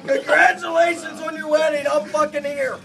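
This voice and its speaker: A middle-aged man giving a rowdy comic wedding toast from cards, shouting and laughing through it, recorded on a distant phone in a crowded party room. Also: very high voice, flat delivery, moderate pace, gravelly. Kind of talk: comic roast-style toast read from cards. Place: indoor party venue, crowded. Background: party crowd chatter and laughter.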